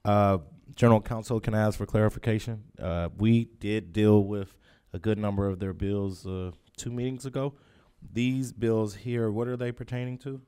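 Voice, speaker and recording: A man's voice: fairly low pitch, steady tone, normal speed, mellow, clear, resonant tone. A man chairing a public board meeting, hesitant with many fillers as he reads items.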